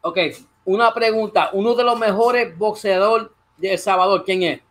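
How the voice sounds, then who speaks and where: Middle-aged man, high voice, playful quizzing, casual chat. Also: a little fast, a bit bright. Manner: playful quizzing, mixes in Spanish phrases. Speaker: guest, host's father.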